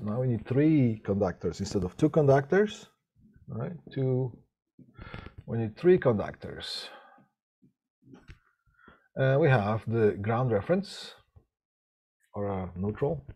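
A middle-aged man teaching a live online class. He talks haltingly with many restarts and keeps checking in with "right?", sometimes drifting away from his mic. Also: mid-range voice, animated, dark tone, husky. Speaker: instructor. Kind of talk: live online lecture on audio systems.

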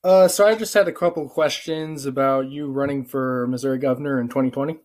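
Young man, American accent, mid-pitched voice, casual commentary, slangy, amused. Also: fairly even intonation, quick pace, darkish tone. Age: young adult. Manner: amused, slangy, chuckling through remarks. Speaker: prank-calling vlogger. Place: small living room, indoors. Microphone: webcam at arm's length.

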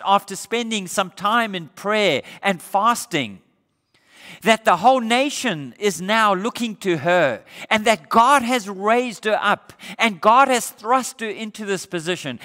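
A man's voice: sits high, takes it slowly. A middle-aged male pastor from Australia preaching a sermon on a church stage, asking the congregation rhetorical questions. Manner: animated preaching with rhetorical questions, gesturing while standing. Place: church sanctuary stage, indoors. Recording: reverberant church hall.

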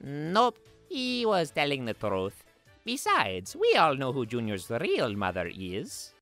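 A man's voice: medium pitch, huge pitch swings, slow pace, fairly bright tone, dry recording, faint music behind, full character voice. A man voicing a cheerful, teasing plumber hero who hosts a cartoon show with chipper animation. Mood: needling. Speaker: show host. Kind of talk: cartoon character fan dub.

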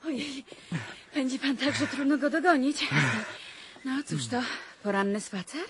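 A woman's voice: high voice, measured pace, background noise. An actress playing a teasing, self-assured young lady of good family in a radio play, playful, probing and coaxing. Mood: breathless, playful.

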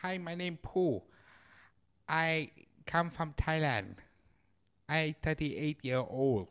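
A man doing a cheerful guest in his late thirties who speaks in broken English, slow and a bit high. He giggles as he talks. The voice sounds distant, slightly off-mic. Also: a bit bright, clean tone.

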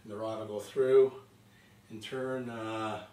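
A man in his fifties casually narrating his work in a machine shop, relaxed and pausing often with fillers. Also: fairly low pitch, recorded from a distance. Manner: relaxed, talks while working, many "uh" fillers. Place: large home machine shop, indoors. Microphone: fixed camera across the room. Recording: roomy shop echo, off-mic, loud machine noise.

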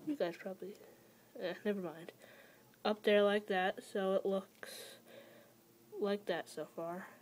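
A young woman hosting a casual building tutorial from a small dim room at home, talking hesitantly with many fillers and pauses, her voice often trailing off quietly. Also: medium pitch, fairly even intonation. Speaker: toy-building tutorial host. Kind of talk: casual how-to narration.

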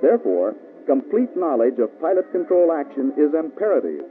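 A man's voice: fairly high pitch, sing-song, normal speed, phone-quality sound, faint music behind. American man narrating an old band-limited training film. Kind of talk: scripted instructional narration, mid-century military film.

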